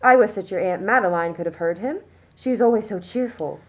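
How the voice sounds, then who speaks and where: A genteel middle-aged mother of the upper class, acted by a woman for a radio play. She is smug and prim, talking down to everyone in a self-satisfied, condescending way. Her pitch sits in the middle range. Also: brisk, dull audio, close to an everyday voice. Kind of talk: scripted radio play acting.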